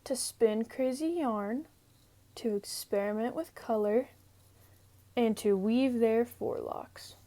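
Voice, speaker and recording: A woman narrating a children's story from a script in a measured, deliberate way. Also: fairly high pitch, mellow. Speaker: storybook reader.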